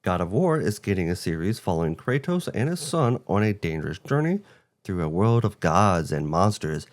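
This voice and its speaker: A man's voice: low voice, big pitch swings, moderate pace. A man co-hosting a video game show, reading a scripted presentation. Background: background music.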